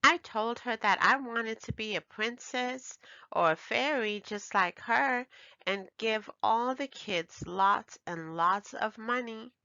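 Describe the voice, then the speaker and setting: A woman voicing an excitable, emphatic little girl who is a daughter in a children's storybook. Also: on the high side, slow pace, no echo, lo-fi sound, voice pushed up, heavily acted. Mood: eager, proud.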